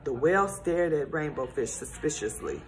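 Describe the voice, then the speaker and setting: A woman reading a children's storybook aloud in a gentle, expressive storytelling manner. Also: sits low, normal speed, poor audio.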